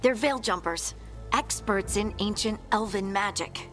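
A friendly, direct scout with a mid-pitched voice, as a woman voices her. She speaks plainly and warmly to her companions as she guides them. This is video game cutscene dialogue. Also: a little slow, soft background music, natural voice.

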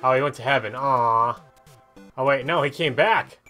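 A man's voice: mid-range voice, normal speed. Casual rambling man over game music. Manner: rambling, reacting aloud, thinking out loud. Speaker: gaming video host. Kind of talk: casual gameplay commentary.

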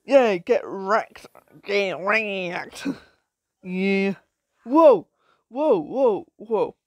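A woman casually commenting on her own gameplay with a croaky voice, giggling and exclaiming often. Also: medium pitch, extremely slow, dry recording. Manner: giggly, excitable, frequent laughs and exclamations.

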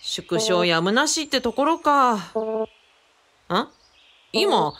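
A woman's voice: on the high side, big pitch swings, slow, a bit bright, heavily acted. A woman playing a frank, curious, wry apprentice in a radio drama, speaking casually and bluntly. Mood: curious, musing.

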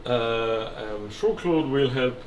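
Software guy, slow talker, modest and a bit jokey, workshop hum. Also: mid-range voice, lively intonation, low-quality recording. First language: French. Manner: self-deprecating, laughing. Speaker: software engineer. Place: workshop, indoors.

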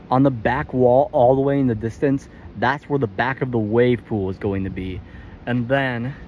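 A man's voice: mid-range voice, a little hiss or hum. A man narrating an update as a travel vlogger, talking in an informal, explanatory way. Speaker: vlogger, channel host.

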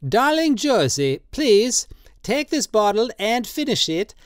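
A storybook reader voicing an excitable, drunken old man who pleads and insists, raised well above the reader's own voice with an altered tone. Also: mid-range voice, takes it slowly, clean tone, no echo, studio quality, voice pushed up. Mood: eager.

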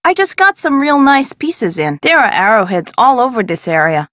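A woman's voice: a little high, big pitch swings, normal speed, a bit bright, dry recording, studio quality. An actress playing a friendly middle-aged woman who runs a desert craft shop in a video game, talking in a folksy, conversational way. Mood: helpful, chatty. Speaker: shop owner character in an adventure game. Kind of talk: scripted video game dialogue.

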